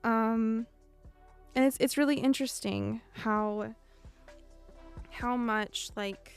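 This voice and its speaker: A woman's voice: on the high side, steady tone, slow, gravelly, faint music behind. A young American woman chatting casually with viewers. She speaks in a meandering, thoughtful way and laughs often. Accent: General American. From United States. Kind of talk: casual chat.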